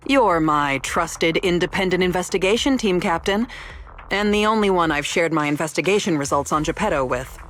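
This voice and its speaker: A woman voicing a young female researcher in a video game, speaking formally and precisely with dry, quiet evasiveness. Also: fairly low pitch, moderate pace, soft background music, natural voice. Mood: measured, matter-of-fact. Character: young female researcher, composed, wry, quietly rule-bending. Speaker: researcher character. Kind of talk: scripted video game dialogue.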